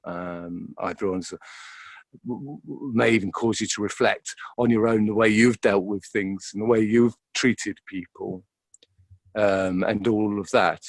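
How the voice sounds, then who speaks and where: A man being interviewed as an actor, talking reflectively and informally in a halting, searching way, often repeating words. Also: fairly low pitch, no room sound.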